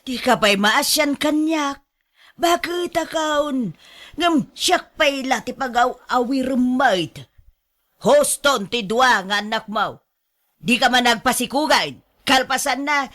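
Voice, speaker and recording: A woman's voice: fairly high pitch, expressive, slow, pushed and bright, full character voice. A woman acting an exasperated older mother in an Ilocano radio drama, nagging and complaining at her grown daughter. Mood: exasperated scolding.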